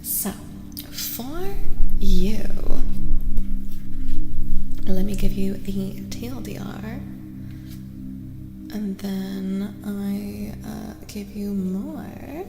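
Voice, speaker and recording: A woman live streamer reading tarot in soft-spoken ASMR style, chatting casually and gently, sometimes dropping to a whisper and repeating phrases. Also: medium pitch, drawn-out words, vocal fry, long pauses. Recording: reverberant echo with music behind. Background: music.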